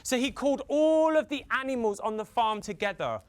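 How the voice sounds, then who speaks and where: A man from the UK telling a folktale theatrically for children, with dramatic pauses, repetition and drawn-out emphasis. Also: very high-pitched, animated, smooth. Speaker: storyteller.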